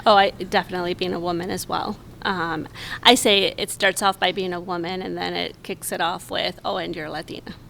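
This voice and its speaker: A woman in her forties, a podcast guest, talking in an earnest, reflective way with many pauses. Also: lowish voice, flat delivery, creaky, fairly bright tone, a little hiss or hum, rough recording. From United States, raised in Mexico. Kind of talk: casual conversation.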